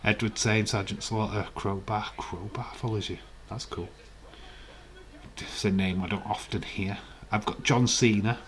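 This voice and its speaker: A man with a croaky, hoarse voice, hosting a casual live stream, chatting in a rambling way and reading out viewer comments. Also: fairly low pitch, fairly even intonation, unhurried.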